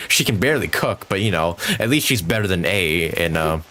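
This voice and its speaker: Low-pitched, animated male game streamer. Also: normal speed, a little hiss or hum. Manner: chatty, rambling, chuckles often, says like a lot. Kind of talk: casual live gameplay commentary. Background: game music.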